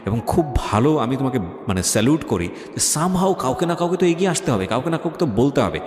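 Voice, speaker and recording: A man's voice: medium pitch, big pitch swings, a little fast. A man with a Bangladeshi accent hosting a conversational radio interview, mixing English phrases into his talk. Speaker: radio show host. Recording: echoey with long reverb, music behind.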